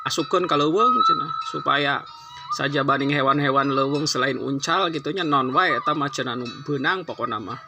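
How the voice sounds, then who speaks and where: A man's voice: medium pitch, steady tone, normal speed. A man with a Sundanese accent telling a folktale informally, in a chatty, conversational way. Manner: chatty, conversational, frequent tag words. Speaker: storyteller. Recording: loud music under the voice. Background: loud music.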